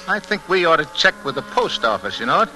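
A brash amateur detective husband in a 1940s radio mystery, with a sharp, highish American voice. He is breezy and wisecracking, swinging through his lines over an old broadcast recording. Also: very animated, fast, full character voice. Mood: breezy, determined. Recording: old radio drama with music.

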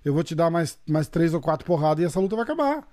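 A man's voice: on the high side, sing-song, fast talker, warm tone, no echo. A Brazilian man chatting casually about fights, slangy and loose with frequent restarts. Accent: Brazilian Portuguese. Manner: laid-back, slangy, hesitant restarts. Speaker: podcast co-host. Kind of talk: casual MMA discussion podcast.

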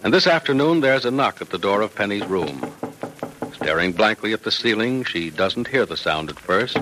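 A man's voice: on the low side, very animated, moderate pace, background noise. A man announcing and narrating in a polished, emphatic old-time radio style, on a vintage broadcast recording.

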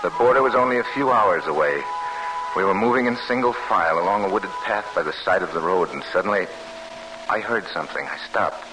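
An actor playing a grave, guarded Polish soldier telling of his wartime escape, earnest and deliberate, in an old-time radio drama. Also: low-pitched, music in the background, natural voice, rough recording. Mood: somber. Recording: hissy vintage radio broadcast.

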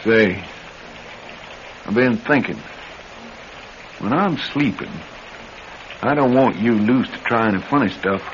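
An actor playing a rough, suspicious intruder with a blunt, folksy, ungrammatical way of talking in a radio drama. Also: male voice, fairly low pitch, slow, pauses often. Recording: dull, slightly noisy old radio recording.

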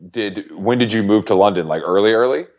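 Man, 30s, very low voice, teasing, casual banter. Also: lo-fi sound. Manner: teasing, quick asides. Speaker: podcast co-host. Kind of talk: casual chat.

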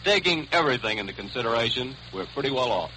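Bright-voiced American sergeant on old radio. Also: male voice, medium pitch, animated, fast, poor audio. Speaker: army sergeant, correspondent. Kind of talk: scripted 1940s radio report. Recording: hissy vintage 1940s overseas radio broadcast.

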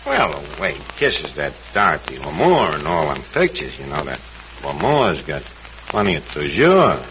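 An actor playing a brash, wisecracking tavern manager with a New York accent and slangy malapropisms in 1940s radio comedy. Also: male voice, very deep voice, huge pitch swings, normal speed, exaggerated voice. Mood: needling. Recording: noisy muffled old radio broadcast.

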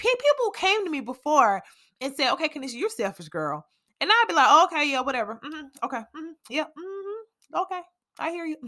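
A woman's voice: a little high, sharp tone. A woman in her thirties hosting her own podcast as a stay-at-home mom, talking candidly and chattily, laughing often. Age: 30s. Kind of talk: casual solo podcast talk.

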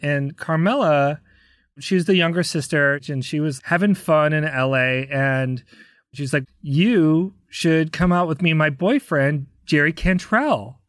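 An American man, a musician being interviewed, telling anecdotes in a casual, animated way and acting out the dialogue. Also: fairly high pitch, unhurried, no room sound, studio quality. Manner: conversational, acts out quoted dialogue. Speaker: interview guest, rock drummer.

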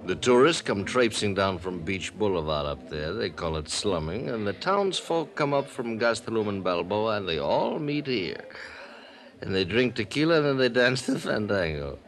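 An actor playing a tipsy, world-weary middle-aged radio producer who rambles theatrically and laughs in a 1950s radio drama. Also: male voice, lowish voice, very animated, normal speed, fairly bright tone. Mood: rambling.

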